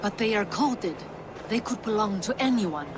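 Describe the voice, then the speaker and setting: A woman playing an earnest, loyal, steady Viking-era character in a dramatic video game. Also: medium pitch, normal speed, noisy background, natural voice. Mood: concerned.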